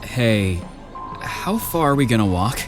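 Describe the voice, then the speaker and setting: A defiant orphan lad played by a man speaks in a medium-pitched voice, sullen and blunt. The pace is slow, with clear music behind this audiobook drama performance. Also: sing-song. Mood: impatient, puzzled. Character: defiant, irritable orphaned son of exorcists. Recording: background music.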